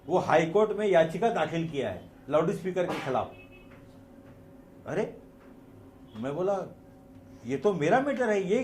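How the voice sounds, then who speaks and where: A man's voice: on the high side, darkish tone, roomy sound, slight background noise. A man with a Mumbai Hindi accent giving an unscripted religious talk with personal stories, emphatic, repeating phrases and asking rhetorical questions.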